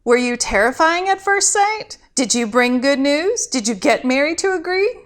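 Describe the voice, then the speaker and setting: Senior angel supervisor read by a woman, storybook style, exacting, questioning, authoritative, fairly high animated delivery. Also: normal speed. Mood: demanding.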